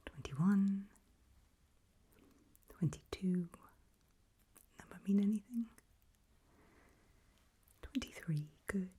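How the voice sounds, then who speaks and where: A woman doing a whispered ASMR doctor roleplay, speaking breathily in gentle, reassuring, halting short phrases close to the microphone. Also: lowish voice, slight background noise. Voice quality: breathy, mostly unvoiced whisper. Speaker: ASMR performer role-playing a doctor giving a memory test.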